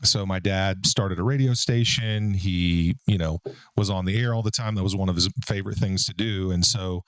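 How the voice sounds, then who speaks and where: A man in his forties with a deep baritone voice, hosting a casual podcast and telling stories in a relaxed way. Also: normal speed, no echo. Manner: relaxed, storytelling, frequent fillers. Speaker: podcast host and business owner. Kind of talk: casual conversational podcast talk.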